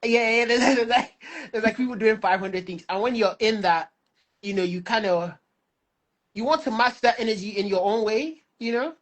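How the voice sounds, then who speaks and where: A man's voice: high voice, normal speed, a bit bright. A man in his twenties who grew up in Lagos, a musical artist talking easygoingly as a guest on a video call. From Lagos, Nigeria. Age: 20s. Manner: easygoing, many "like" and "you know" fillers. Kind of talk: casual interview chat.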